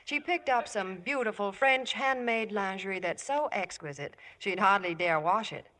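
A woman announcer delivering a scripted, enthusiastic 1950s radio sales pitch. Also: moderate pace, a bit bright. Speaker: commercial announcer.

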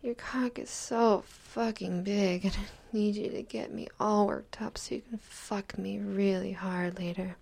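Young woman, intimate roleplay, slow husky whisper with a gravelly edge. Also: mid-range voice, steady tone. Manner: mostly whispering, soft and teasing. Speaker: audio roleplay performer.

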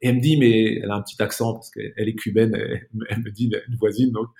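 A man's voice: lowish voice, little pitch movement, quick pace, vocal fry, dark tone. A man speaking as a podcast guest in a casual, reflective interview, searching for his words and often repeating them.

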